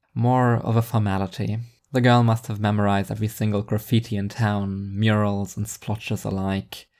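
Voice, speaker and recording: A man's voice: lowish voice, darkish tone. A man reading first-person fiction narration in an introspective, wry manner.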